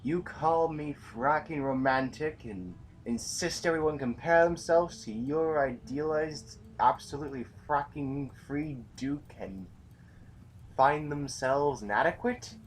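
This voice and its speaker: A man's voice: fairly high pitch, a little slow, recorded from a distance, natural voice. A man playing a blunt, commanding, battle-hardened rebel general in a science fiction audio drama. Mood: heated, accusing. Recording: noisy amateur recording, off the mic.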